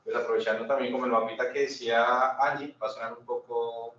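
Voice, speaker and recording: A man's voice: medium pitch, level tone, a little fast, fairly bright tone. A Colombian man presenting informally at a seminar, conversational and often checking in with short questions to his listeners. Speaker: seminar speaker. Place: indoor seminar room. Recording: very echoey room, distant muffled mic, faint noise.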